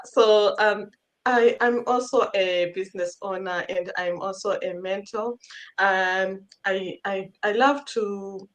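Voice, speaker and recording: A woman from Zimbabwe introduces herself as a guest on an online panel. She speaks slowly, with a hesitant, friendly manner and small restarts. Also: medium pitch. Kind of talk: casual self-introduction.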